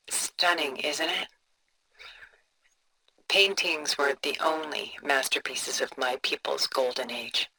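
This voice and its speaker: An actress playing a refined, cultured, coolly composed older woman in a video game, speaking in a poised, deliberate, articulate way. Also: low voice, pushed and bright, a little hiss or hum, low-quality recording. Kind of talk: scripted video game dialogue, art commentary.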